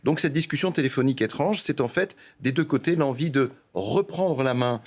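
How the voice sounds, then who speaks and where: A man's voice: mid-range voice, animated, moderate pace. French male commentator, emphatic news analysis. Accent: French from France. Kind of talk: explanatory political news analysis.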